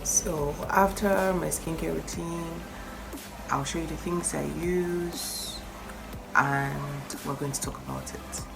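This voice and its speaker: Croaky-voiced woman vlogging about skincare. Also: low-pitched, fairly even intonation, takes it slowly, over music, low-quality recording. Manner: chatty, emphatic, repeats advice. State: croaky morning voice. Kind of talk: casual talk to camera.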